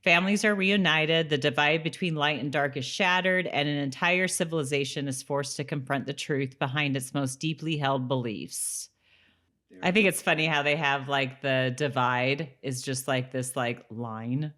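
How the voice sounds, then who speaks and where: A woman's voice: deep voice, moderate pace, fairly bright tone. Casual woman co-hosting a podcast. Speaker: podcast co-host. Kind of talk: casual recap chat with read-aloud summaries.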